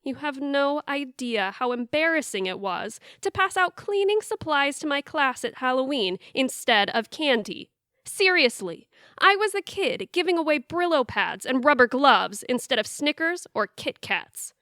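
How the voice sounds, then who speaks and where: A woman's voice: high voice, big pitch swings, measured pace, sharp tone, dry recording, studio quality, exaggerated voice. A woman playing a wry, exasperated young adult daughter in an audio theatre comedy-drama. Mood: wry, confiding. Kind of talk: audio theatre acting with confiding narration.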